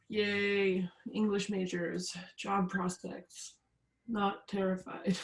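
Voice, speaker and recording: A woman playing an earnest, vulnerable young woman haunted by grief in a staged reading, speaking in an urgent, halting way. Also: medium pitch, level tone, low-quality recording. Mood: wry.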